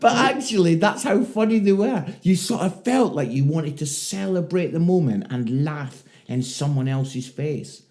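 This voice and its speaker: A man's voice: fairly high pitch, lively intonation, measured pace, hardly any pauses, darkish tone. A middle-aged man co-hosting a casual podcast with his son, teasing him and laughing often. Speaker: podcast co-host, father. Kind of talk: casual chat.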